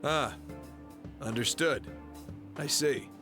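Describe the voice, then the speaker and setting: Curt police guard over music. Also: male voice, on the high side, slow. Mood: official. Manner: curt, official. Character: brusque, by-the-book adult police guard. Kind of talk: English video game dub acting. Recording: game music behind the voice.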